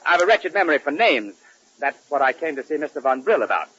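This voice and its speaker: A man's voice: fairly high pitch, sing-song, quick pace, a bit bright, breathy edge, heavily acted. A man playing a polite, forgetful, fluttery husband in 1940s radio comedy. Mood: befuddled, apologetic. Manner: polite, fluttery, giggly, muddling names. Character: flustered, forgetful, polite male customer, befuddled husband. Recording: muffled, hissy old 1940s radio broadcast.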